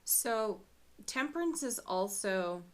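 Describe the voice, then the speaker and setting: A woman giving a chatty daily tarot reading, musing aloud with frequent soft laughs and a slightly scratchy voice. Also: medium pitch, lively intonation, takes it slowly, clean tone. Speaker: tarot reader.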